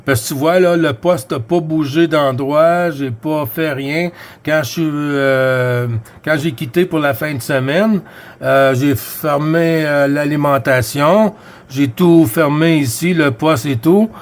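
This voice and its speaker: A man with a Québécois accent chatting over CB radio, using radio codes and many fillers. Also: a little high. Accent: Québécois French. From Quebec. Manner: drawn-out, filler-heavy, uses radio codes. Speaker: CB radio operator. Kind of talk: casual CB radio chat.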